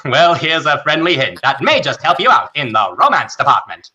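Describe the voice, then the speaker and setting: Bright, punchy old-time radio announcer. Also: male voice, on the high side, very animated, normal speed, muffled recording, exaggerated voice. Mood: hammy, inquiring. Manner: punchy, exaggerated salesman delivery. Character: peppy old-time radio announcer pitching hair tonic. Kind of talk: 1940s radio advertisement acting.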